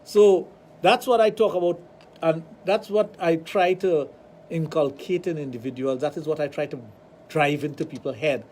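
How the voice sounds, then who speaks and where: A man with a Trinidadian accent, hosting a radio talk show and telling animated stories with acted-out dialogue. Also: fairly high pitch, moderate pace, slight background noise. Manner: animated storytelling, acts out dialogue. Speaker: radio show host, sexologist.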